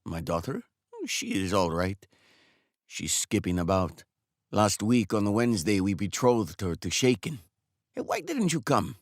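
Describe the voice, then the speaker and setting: A man's voice: on the low side, very animated, measured pace, dry recording, exaggerated voice. A smug, bluff older man who lectures the barber in a patronizing, matter-of-fact tone, as a man reading the story puts him on. His voice rises and falls a lot. The recording is dry and close. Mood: cheerful. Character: older male customer, smug and blunt.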